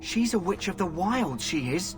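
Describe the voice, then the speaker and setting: A man's voice: high-pitched, sing-song, measured pace. An actor playing a frightened, superstitious man in a fantasy video game. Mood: fearful. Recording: loud music under the voice.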